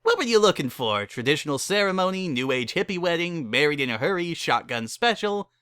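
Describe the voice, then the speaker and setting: A man's voice: fairly high pitch, sing-song, a bit bright, smooth, no room sound, studio quality, voice pushed up. Man voicing a breezy, tipsy pony mare officiant. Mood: breezy, businesslike. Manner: brisk, casual sales patter.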